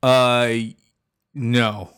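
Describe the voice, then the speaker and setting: An easygoing man with a smooth, resonant voice. He chats casually on a podcast, dropping wry asides and laughing along with his friends. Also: medium pitch, flat delivery, extremely slow, fairly bright tone. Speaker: podcast co-host. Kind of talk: casual chat.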